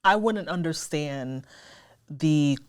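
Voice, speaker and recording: Lower-voiced woman pushing back. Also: animated, takes it slowly, smooth. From United States. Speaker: co-host in discussion. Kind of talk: talk show debate.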